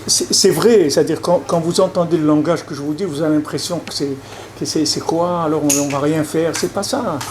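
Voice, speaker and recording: A man's voice: on the high side, steady tone, fairly quick, a little mellow. A man, a rabbi, giving a conversational public lecture, often repeating and restarting his words and checking that listeners follow. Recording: noisy lecture recording.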